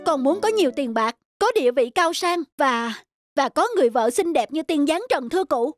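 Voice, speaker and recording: Woman playing a wistful young peasant guy in a cartoon, high pitched, polite and humble, music behind. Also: lively intonation, moderate pace, no echo. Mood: eager, polite. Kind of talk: cartoon fairy-tale dialogue.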